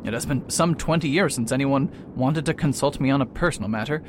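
A man's voice: mid-range voice, a little fast, close to an everyday voice. A man playing a proud, faded detective who talks pompously and hesitates with filler sounds, in an amateur radio drama. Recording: noisy recording with steady hiss.

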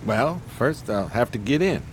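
An actor playing a pompous, genteel homeless schemer in a 1920s radio play, speaking in flowery, courteous phrases. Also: male voice, medium pitch, lively intonation, moderate pace, slight background noise, lightly acted. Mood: confident, scheming.

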